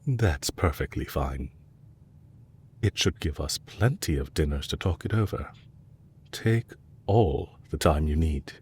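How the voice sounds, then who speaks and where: A man playing a courteous, calculating villain leader in an intimate audio roleplay, speaking politely and with measured, softly teasing delivery. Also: rumbling low, animated, a little hiss or hum, heavily acted. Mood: gently curious. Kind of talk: intimate scripted audio roleplay addressed to the listener.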